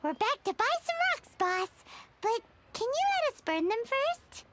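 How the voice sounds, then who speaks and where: A woman voicing a tiny, childlike, chirpy and indignant fairy companion in a video game, with a squeaky, exclamatory delivery. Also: big pitch swings, unhurried, heavy background noise, full character voice. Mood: sad, cheeky. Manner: exclamatory, refers to self in third person. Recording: loud game music behind voice. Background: music.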